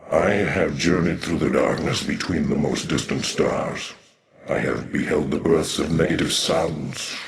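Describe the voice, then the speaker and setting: A man voicing an ancient alien dark templar warrior in a video game, grave and imperious, with solemn, archaic grand speech. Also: low-pitched, vocal fry. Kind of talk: theatrical video game acting. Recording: roomy echo on the voice.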